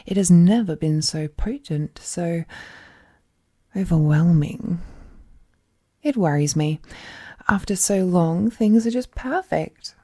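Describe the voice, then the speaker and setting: Woman acting a slow, breathy, possessive immortal villainess. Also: lowish voice, mellow. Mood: doting, uneasy. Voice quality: breathy, often dropping to a whisper. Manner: slow, languid, condescendingly affectionate, sighing. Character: ruthless, possessive, all-powerful female being, sweetly menacing captor. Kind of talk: intimate roleplay audio drama monologue.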